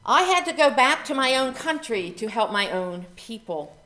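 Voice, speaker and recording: A woman's voice: fairly high pitch, very animated, moderate pace, sharp tone. A woman from Myanmar, an activist, giving a public speech in a conversational way with asides to her audience. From Myanmar (Rakhine State). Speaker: human rights activist and organization founder.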